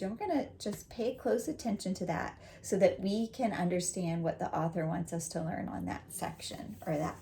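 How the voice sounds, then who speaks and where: A woman reads and explains to young students in an encouraging, simple way. Her voice is mellow and relaxed, with a creaky edge. There is some faint noise in the recording behind her. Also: medium pitch. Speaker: teacher reading aloud to young students. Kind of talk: read-aloud lesson for children.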